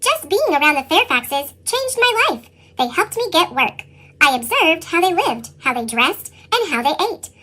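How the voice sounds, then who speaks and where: A woman reading a children's picture book as an earnest young boy, with a squeaky pitched-up chipmunk effect. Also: moderate pace, heavily acted. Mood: earnest, proud. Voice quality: squeaky, sped-up chipmunk sound. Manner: animated children's read-aloud delivery. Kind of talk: scripted picture-book narration.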